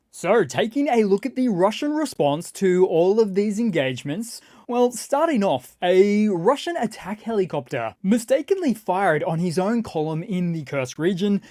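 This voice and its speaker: Mellow, dry-sounding scripted news narrator. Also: mid-range voice, dry recording. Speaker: news commentator and channel host.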